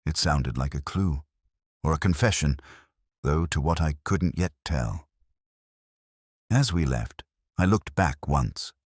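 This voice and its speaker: A man narrating a scripted first-person detective story in a measured, literary, reflective manner. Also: rumbling low, no room sound, clean studio sound.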